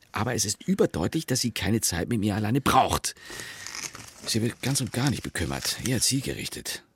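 An actor playing a brooding, wry suburban husband who narrates a radio play in a sardonic, husky voice. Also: male voice, on the low side, slight background noise. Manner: sardonic, hesitant in dialogue.

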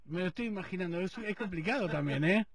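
A man's voice: high-pitched, animated, quick pace, breathy edge, dry recording. Man, Rioplatense accent, radio host, teasing, dry studio, slightly distant. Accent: Rioplatense Spanish. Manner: joking, teasing. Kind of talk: casual phone interview.

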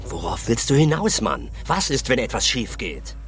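Impatient streetwise crook, medium pitch, lively swinging intonation, cocky, radio thriller. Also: male voice, moderate pace, soft background music. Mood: irritated. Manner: streetwise, swearing, insistent. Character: cocky, impatient small-time crook wanting a big gun. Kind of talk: radio crime drama acting.

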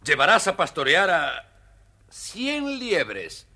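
A man's voice: a little high, wildly swooping pitch, takes it slowly, sharp tone, full character voice. A man playing a haughty, scheming fairytale king with a pompous, commanding delivery. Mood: stern, sly.

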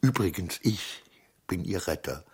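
An actor playing an anxious, muddled clerk in a radio play, muttering hesitantly to himself in inner monologue. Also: male voice, sits low, lively intonation, a little slow. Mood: dreamy, smug. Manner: hesitant, muttering to himself, swinging from murmur to outburst. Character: anxious, muddled male clerk, brooding and self-persuading. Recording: studio radio play with music.

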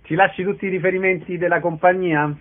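A man's voice: sits high, flat delivery, quick pace, phone-quality sound. A man interviewing a guest in a casual, conversational radio style.

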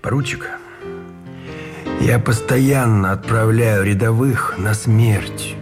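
An actor playing a cold, calculating imprisoned army colonel in a Russian radio drama, measured, formal and conspiratorial, with a husky voice. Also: male voice, on the low side. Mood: insistent, calculating. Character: cold, calculating army colonel, a prisoner plotting murder for duty. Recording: studio radio play with faint music behind.

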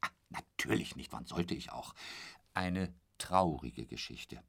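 An actor in a German radio drama playing a garrulous, boastful travelling salesman who rambles chummily and corrects himself, sometimes dropping to a breathy murmur. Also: low-pitched, expressive, takes it slowly, a bit bright, heavily acted. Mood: rambling, reminiscing. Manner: chatty, rambling storyteller with a pet polite phrase, correcting himself.

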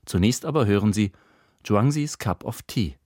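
Low-voiced male radio presenter, dry studio sound. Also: animated, moderate pace, darkish tone, no echo. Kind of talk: scripted introduction to a radio sound-art broadcast. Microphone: close studio microphone.

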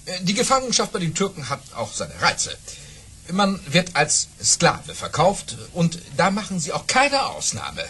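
An actor playing a boastful baron and officer, telling tall tales grandly and dramatically in for a radio play. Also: male voice, sits high, huge pitch swings, a little slow, pushed and bright, husky, heavily acted. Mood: rueful. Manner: grandiose, dramatic, amused by his own boasts. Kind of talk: theatrical radio play storytelling.

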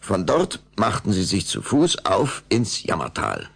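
A man narrating a German children's audio drama as an expressive storyteller. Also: on the low side, measured pace, creaky, slightly rough.

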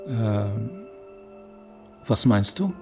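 A man playing a gentle, guarded Syrian refugee in a radio drama, halting and hesitant. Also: on the low side, sing-song, gravelly, music in the background, exaggerated voice. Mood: reassuring. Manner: halting, slow. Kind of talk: naturalistic radio drama acting.

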